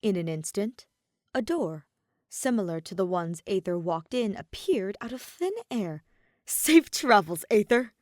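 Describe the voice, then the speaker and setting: A woman reading scripted fiction as an expressive story narrator. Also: mid-range voice, a little slow, dry recording, clean studio sound. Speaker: podfic narrator.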